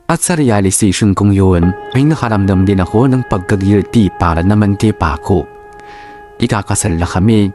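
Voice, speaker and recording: A man's voice: on the low side, a little mellow. A man with a Filipino accent narrating a first-person love story as the host of a radio drama show. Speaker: host reading a listener's letter.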